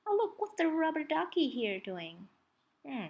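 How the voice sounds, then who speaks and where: Female picture-book reader for small children. Expressive and very varied in pitch, unrushed, engaging the listener with questions. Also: a little slow, muffled recording. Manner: expressive, asks the listener questions, points things out. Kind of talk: children's read-aloud with chatty asides to young listeners.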